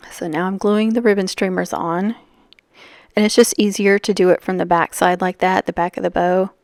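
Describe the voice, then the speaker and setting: A woman's voice: on the low side, a little mellow, no echo, clean studio sound. Scratchy-voiced woman chatting through crafts. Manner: rambling, chatty, lots of fillers. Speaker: craft tutorial host. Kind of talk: casual voice-over while crafting.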